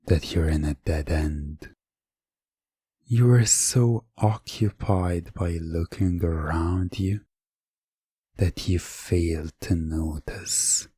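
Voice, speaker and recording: A man narrating a scripted second-person story for hypnosis ASMR, measured and deliberate, with dramatic pauses. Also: extremely low pitch, warm tone, slightly rough, no room sound, studio quality.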